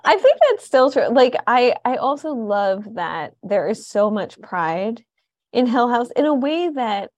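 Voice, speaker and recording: A woman's voice: on the high side, big pitch swings, measured pace, no room sound. American woman interviewer, dry close sound. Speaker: host, arts reporter. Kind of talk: conversational interview.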